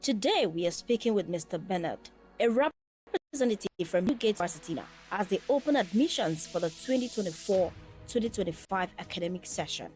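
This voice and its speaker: A woman's voice: medium pitch, lively intonation, brisk, a little mellow, soft background music. A woman hosting a scripted promotional interview in a polite, formal way.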